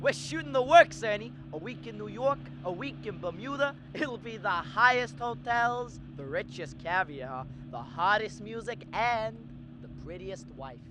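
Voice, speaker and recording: A man's voice: high-pitched, lively intonation, unhurried, hardly any pauses, background noise. A teenage student actor playing a stubborn, restless young small-town man in a radio drama, stammering and emphatic. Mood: elated.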